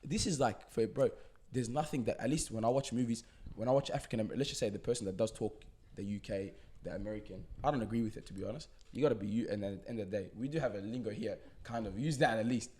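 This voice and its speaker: A young man with an Australian accent, hosting a casual podcast chat, quick and animated, often cutting in over others. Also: fairly low pitch, slightly rough. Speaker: podcast host.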